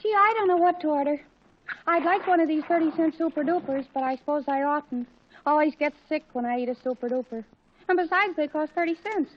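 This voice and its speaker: A woman's voice: sits high, a little fast, a little hiss or hum, lightly acted. An actress playing a gushing, easily impressed teenage girl in a 1940s radio comedy. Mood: dithering. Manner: gushing, breathless exclamations. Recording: dull, muffled 1940s radio recording.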